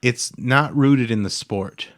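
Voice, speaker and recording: A man's voice: medium pitch, slow, fairly bright tone. A man hosting a podcast in casual chat, with a loose, informal way of talking. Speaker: podcast host.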